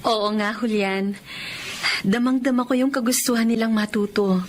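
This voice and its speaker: A woman's voice: fairly high pitch, steady tone, moderate pace, slight background noise, natural voice. A woman playing a kind, idealistic young teacher in a radio drama, speaking with earnest feeling. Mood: heartfelt. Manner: earnest, heartfelt.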